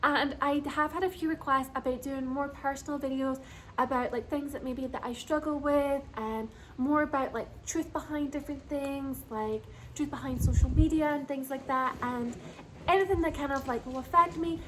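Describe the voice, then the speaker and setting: High-voiced giggly young woman. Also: normal speed, a little hiss or hum, rough recording. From Scotland. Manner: bubbly, giggly, gushing. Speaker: vlogger. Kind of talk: casual chat to camera.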